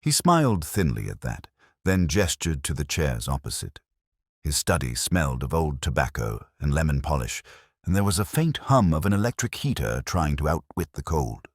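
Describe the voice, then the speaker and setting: A man narrating a scripted first-person detective story in a measured, brooding, literary manner. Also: rumbling low, very animated, breathy edge, no echo, studio quality.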